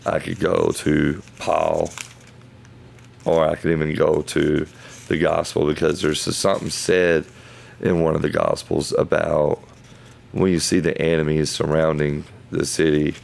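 A man with an extremely deep, heavily gravelly voice talks through scripture in a casual podcast. His delivery is flat and halting as he thinks aloud in loose phrases. He sounds hoarse, as if his voice is going. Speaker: podcast host. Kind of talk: casual Bible study talk.

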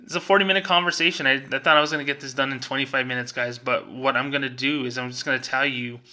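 A man's voice: medium pitch, pushed and bright. A middle-aged man speaking as a vlogger, telling a personal story in a casual, conversational way and acting out remembered dialogue.

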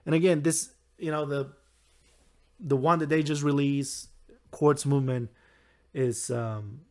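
A young man with a hoarse, recovering voice, hosting a solo podcast in a rambling, halting way with frequent chuckles. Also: on the high side, steady tone. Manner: chuckles often. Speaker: podcast host. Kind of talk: casual solo podcast talk.

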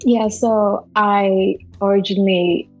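Young Indonesian woman, slightly husky guest. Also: mid-range voice, steady tone, takes it slowly, a little mellow, muffled recording, soft background music. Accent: Indonesian with a bit of Malaysian. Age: 20s. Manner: chatty, many fillers and restarts, laughs often. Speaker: podcast guest, university student. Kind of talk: casual interview chat.

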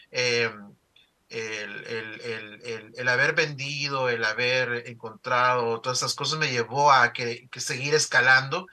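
A man's voice: mid-range voice, bright, forceful tone. A middle-aged man from El Salvador with a Salvadoran accent, telling his story in an interview with many fillers and restarts. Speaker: newspaper founder and CEO.

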